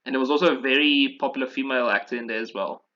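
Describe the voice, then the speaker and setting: A man's voice: mid-range voice, very fast, pushed and bright, highs cut off. A man chatting casually and enthusiastically about video games in an informal way, as a podcast host.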